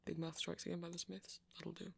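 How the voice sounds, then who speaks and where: A man's voice: a little high, monotone, fairly quick, gravelly, a bit bright, husky, heavily acted. A man playing a nerdy, kindly vampire who rambles hesitantly and reassuringly in an ASMR roleplay. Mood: absorbed, rambling. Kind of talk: ASMR roleplay, soft conversational monologue.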